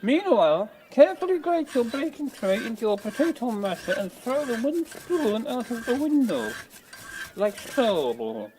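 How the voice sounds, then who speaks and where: A man playing an earnest, unflappable cookery instructor in an audio comedy, deliberate and deadpan in his absurd instructions. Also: extremely high pitch, slight background noise, low-quality recording. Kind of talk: parody cookery demonstration.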